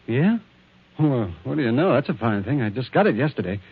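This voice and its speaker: Casual, evasive office guy, 1940s radio drama, husky voice on a tinny broadcast. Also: mid-range voice, very animated, brisk. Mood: evasive, flustered. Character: casual evasive male office artist named Jack. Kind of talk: 1940s radio mystery acting.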